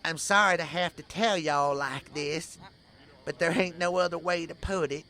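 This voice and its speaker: A man's voice: on the high side, fairly even intonation, normal speed, sharp tone. A man playing a humbled, apologetic cowboy with a rural American accent in a comic Western audio drama. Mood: remorseful, grave. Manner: folksy, apologetic. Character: humbled, remorseful cowboy with bandaged, blistered face.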